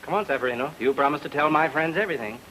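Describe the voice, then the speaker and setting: A man's voice: fairly high pitch, very fast, sharp tone, low-quality recording. A man acting a polite, mild, eager helper in a film noir radio drama. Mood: obliging. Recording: old radio recording, faint hiss.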